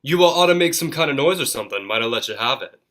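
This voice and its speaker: A woman acts an impatient, trigger-happy gangster in a vintage radio drama. The voice is low and forceful, with blunt, terse, slangy lines and a defensive edge. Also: lively intonation, fast. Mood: gruff.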